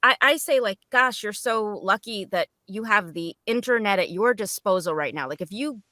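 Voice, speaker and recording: A middle-aged woman with a bright, clear-cut edge to her voice, recorded dry and close. She talks informally and chattily, offering encouragement as a guest in a casual panel conversation. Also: medium pitch, lively intonation, moderate pace, no echo. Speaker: guest voice actor. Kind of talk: casual roundtable chat.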